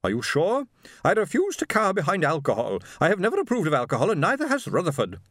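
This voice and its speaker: Man putting on a haughty, high-pitched domineering wife. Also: huge pitch swings, fast, fairly bright tone, no echo, clean studio sound, heavily acted. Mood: disapproving. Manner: snapping, demanding, imperious. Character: haughty, domineering middle-class wife, disapproving and imperious. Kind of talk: audiobook character voice.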